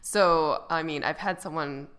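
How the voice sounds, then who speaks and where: A young woman from Boston talks in an easygoing way on a podcast. Her voice sits low, and she laughs often between thoughts. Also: a little slow, fairly bright tone, clear, resonant tone. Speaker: podcast co-host. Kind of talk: casual chat.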